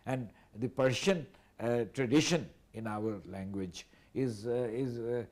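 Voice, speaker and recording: A man, speaking as a guest analyst on a TV panel in a measured, hesitant way with many fillers. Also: mid-range voice, darkish tone. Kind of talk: TV panel discussion.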